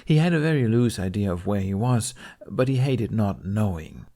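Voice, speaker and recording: A man's voice: lowish voice, expressive, normal speed, dark tone. A man reading a story aloud as an audiobook narrator in a scripted, storytelling style.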